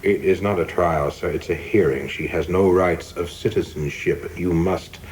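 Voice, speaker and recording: An actor playing a coldly zealous young government official in a radio play, speaking formally and insistently with polite deference that cuts. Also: male voice, low-pitched, normal speed, darkish tone, natural voice. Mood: insistent, clipped. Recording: clearly noisy background ambience.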